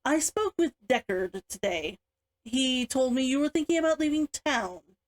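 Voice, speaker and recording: A cold, forbidding older sister read by a woman from a storybook. Her voice is high and clipped, with strong swings in pitch as she lays down the law. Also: lively intonation, unhurried. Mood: accusing.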